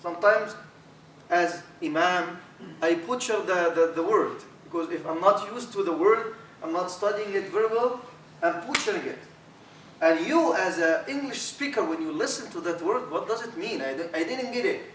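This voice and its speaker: Arabic-accented imam with a high voice. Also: male voice, normal speed. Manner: animated, repeats words, drops Arabic fillers. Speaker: imam teaching a workshop. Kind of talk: workshop talk to trainees. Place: university lecture room. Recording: echoey room, distant mic, faint hiss.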